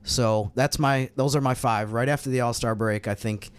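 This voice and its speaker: A man's voice: mid-range voice, fairly even intonation, normal speed, clear, resonant tone. Man from New York chatting casually about sports. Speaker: podcast co-host and sports analyst. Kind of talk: casual sports roundtable chat.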